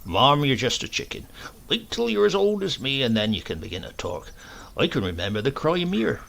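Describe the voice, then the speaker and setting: Storybook rogue performed by a male reader: English countryman in his eighties, rustic accent, heavy gravel, swooping mock-pious deference. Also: medium pitch, very animated, a bit bright. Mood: coaxing, sly. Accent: rural English. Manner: rustic dialect, deferential, repeats himself slowly for effect.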